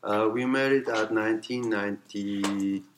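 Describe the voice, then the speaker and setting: A man, answering oral history interview questions in halting English full of uh fillers. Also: fairly low pitch, fairly even intonation. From Iraq. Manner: halting non-native English with many uh fillers. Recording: noisy recording.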